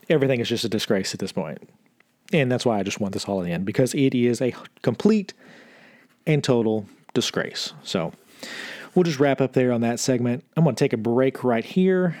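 A man with a mid-pitched voice talks as a podcast host, explaining the news on his own. His delivery is conversational and informal, laying things out step by step. The sound is dry, with no room around the voice. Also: darkish tone, no echo. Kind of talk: solo news commentary, explaining figures.

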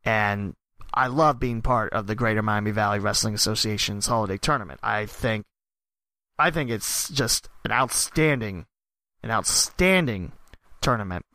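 A man with a hoarse, worn-out voice, reading off wrestling tournament results and then reflecting casually as a show host. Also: lowish voice, normal speed, no room sound, low-quality recording. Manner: steady listing of names and results. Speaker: podcast host and wrestling announcer.

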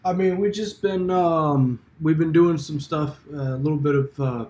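A man co-hosting a casual podcast, a little tired, speaking haltingly with lots of fillers. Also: fairly high pitch, a little mellow. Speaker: podcast co-host. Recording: roomy echo.

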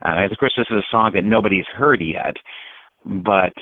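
Low male voice on a phone-like line. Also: normal speed, dry recording. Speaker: musician and songwriter, interview guest. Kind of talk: casual, reflective interview talk. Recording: thin, dry, phone-like line.

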